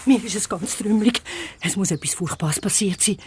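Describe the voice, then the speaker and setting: A woman playing a distraught, agitated mother in a children's radio play, husky and breathy, with a Swiss German accent. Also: medium pitch, fairly quick, darkish tone, a little hiss or hum, lightly acted. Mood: frantic. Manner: agitated, lamenting. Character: frantic, distraught fairy-tale mother searching for her daughter.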